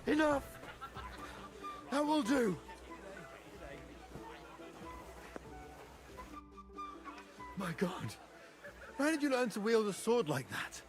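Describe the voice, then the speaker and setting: A man's voice: very high voice, big pitch swings, unhurried, music in the background, heavily acted. An actor playing a dutiful, proud young nobleman, a younger son, speaking formally and earnestly in medieval game dialogue. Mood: startled.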